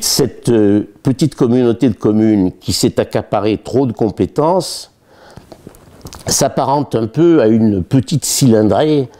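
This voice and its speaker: A man's voice: medium pitch, mellow. A man giving a political explainer as a local election candidate, often groping for words and repeating phrases for emphasis. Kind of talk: political explainer talk to camera.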